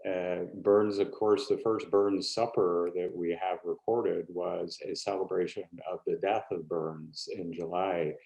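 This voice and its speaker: An American man introducing himself informally at an online meeting, speaking haltingly with pauses mid-phrase. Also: deep voice, steady tone, vocal fry, darkish tone, poor audio. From California, United States. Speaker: board trustee.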